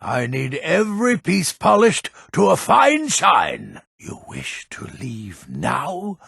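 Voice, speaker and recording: A heavily gravelled, very hoarse-edged old lord from a fantasy game. He is proud and grumpy, blustering in slow, weighty phrases that swing widely in pitch. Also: wildly swooping pitch, fairly bright tone, no room sound, studio quality, full character voice. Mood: stern. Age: older. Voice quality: gravelly, raspy. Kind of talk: video game character voice acting.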